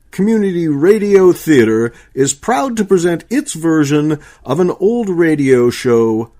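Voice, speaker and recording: A man works as announcer for an old-fashioned radio show. His voice is a little high and moves up and down a lot, with slow, formal showman's phrasing. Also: a little mellow.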